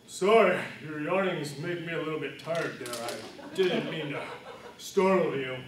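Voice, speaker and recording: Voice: medium pitch, moderate pace, recorded from a distance, a crowd in the background, close to an everyday voice. A storyteller doing a polite male talking animal, echoey. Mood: apologetic, helpful. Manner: polite, helpful, easygoing. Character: friendly, apologetic talking animal in bear costume.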